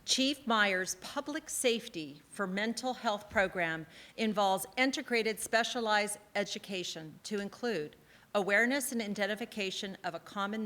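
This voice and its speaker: A woman's voice: mid-range voice, a bit bright. Earnest woman giving an award speech. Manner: earnest, emphatic, measured delivery. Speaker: town council member presenting an award. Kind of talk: prepared ceremony speech. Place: theatre stage at an awards ceremony.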